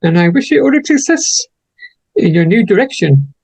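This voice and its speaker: An interview host asking simple, set questions in a steady way. The voice has a gravelly, fry-filled edge. It is recorded dry, with no room sound around it. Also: medium pitch, normal speed, no room sound, low-quality recording. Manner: plain, formulaic questions.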